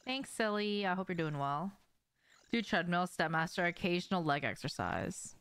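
Young woman streamer, lively and lower-pitched. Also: moderate pace, clear, resonant tone, no room sound. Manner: informal, swearing, addressing viewers and reacting to gameplay. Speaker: game streamer. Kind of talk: casual livestream chat while gaming. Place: small indoor room at home.

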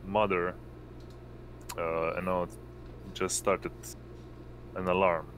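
Slow, low-voiced male game master. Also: flat delivery, fairly bright tone, a little hiss or hum. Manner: halting, often pausing to find words. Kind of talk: narrating a tabletop roleplaying game.

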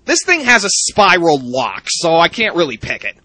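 A man's voice: on the high side, huge pitch swings, normal speed, pushed and bright, no room sound, exaggerated voice. A man playing an irritable, foul-mouthed young secret agent, sarcastic and exasperated, in a video game parody. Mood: grumbling, sarcastic.

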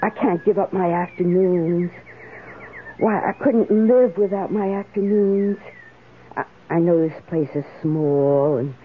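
An actress in a radio drama playing a wistful, lonely, devoted woman with a hesitant, emotive, drawn-out delivery. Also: fairly low pitch. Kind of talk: 1970s radio drama acting. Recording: tinny, hissy old radio broadcast.

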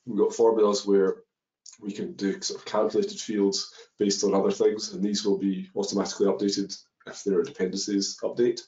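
Low flat-voiced man explaining code. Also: a little fast. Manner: explains step by step with many ums and uhs. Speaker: webinar presenter. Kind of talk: live coding walkthrough. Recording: dull echoey webinar audio.

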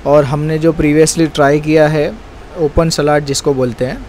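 A man's voice: fairly high pitch, steady tone, measured pace, a little mellow. A man, hosting a food vlog and chatting about dishes and prices. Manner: chatty, promotional, explains prices. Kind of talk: casual food review, street interviews. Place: restaurant in a city street. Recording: street traffic noise behind.

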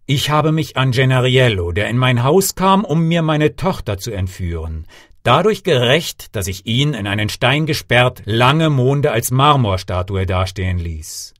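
A commanding fairy-tale sorcerer patriarch, played by a male reader, who pronounces judgment in solemn, declamatory sentences. His delivery moves widely in pitch and sounds close and dry. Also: sing-song, unhurried, a bit bright, no echo. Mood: stern. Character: stern sorcerer father, grandfather, punishing then forgiving.

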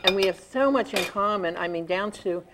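A woman's voice: mid-range voice, fairly quick. An older woman chatting casually as host of a studio cooking show. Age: 60s or older. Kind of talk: casual chat. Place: small TV studio kitchen set. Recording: clearly noisy TV studio recording.

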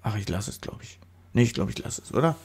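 A man's voice: fairly low pitch, very animated, fast talker, a little mellow, husky. A man with a stuffy nose from a cold, chatting casually through a photo-editing tutorial voice-over, rambling and correcting himself as he goes. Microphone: studio microphone.

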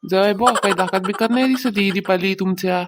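A woman's voice: medium pitch, fast, noisy background, close to an everyday voice. A woman voicing a meddling, gossiping older village neighbour in a comedy cartoon, with a rural Maharashtrian accent and a taunting, insistent way of telling tales. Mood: mocking. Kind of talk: Marathi comedy cartoon dialogue.

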